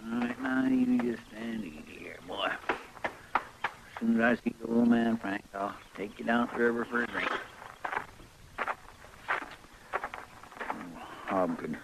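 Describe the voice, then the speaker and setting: An actor playing a fussy, good-hearted frontier deputy in an old radio western, with a grumbling rustic American drawl. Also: male voice, medium pitch, moderate pace, gravelly, natural voice. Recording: noisy, crackly old radio recording.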